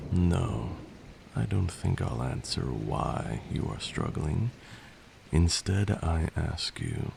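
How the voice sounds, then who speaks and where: Man playing a slow, gravelly vampire lord. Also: sits low, darkish tone, slight background noise, heavily acted. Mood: wry, observing. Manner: measured, formal, deliberate, teacherly. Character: male vampire lord, patient, stern, learned magic mentor. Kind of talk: ASMR roleplay monologue.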